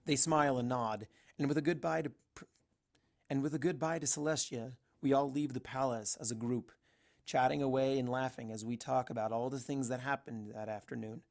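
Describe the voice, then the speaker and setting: A woman's voice: very deep voice, fairly even intonation, a little fast, darkish tone. A woman reading a first-person story aloud as an amateur narrator. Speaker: amateur fan fiction narrator.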